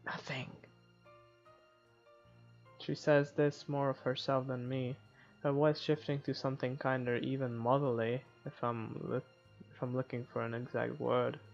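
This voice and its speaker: A woman's voice: a bass voice, little pitch movement, normal speed, creaky, a little mellow. A woman gamer casually reading a playthrough aloud with sarcastic asides, recorded on a dull microphone. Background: game music.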